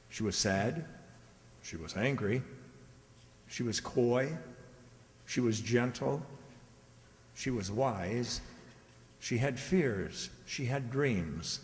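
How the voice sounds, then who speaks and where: A man playing an earnest storyteller narrating a wistful radio drama in measured, emphatic sentences. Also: mid-range voice, lively intonation. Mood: solemn, measured. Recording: reverberant hall stage.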